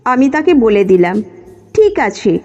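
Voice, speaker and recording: A woman's voice: a little high, very animated, unhurried, darkish tone. A woman reading a scripted first-person story as its narrator. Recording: muffled lo-fi audio with music. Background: background music.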